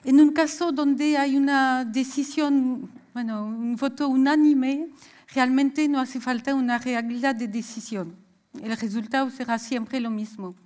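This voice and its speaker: A Belgian woman with a French accent giving a popular science talk in a measured, hesitant way. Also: high-pitched, fairly even intonation, a little mellow. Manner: measured lecturing with frequent hesitations. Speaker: science speaker. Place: stage of a lecture hall.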